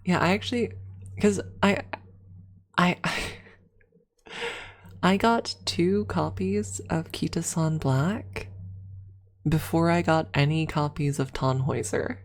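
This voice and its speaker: A woman with a soft, breathy voice, often near a whisper, chatting casually and playfully as an ASMR streamer close to the microphone. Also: sits low, takes it slowly, pauses often. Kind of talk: casual ASMR livestream chat with viewers.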